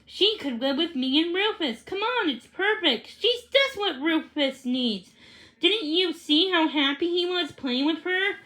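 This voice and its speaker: An eager young storybook boy read by a female, high in pitch and unhurried. He is keen to persuade, in an excited manner. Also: full character voice.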